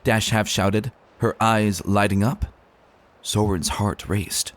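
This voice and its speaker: A man narrating a story as an expressive dramatic reading. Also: lowish voice, slow pace. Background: music.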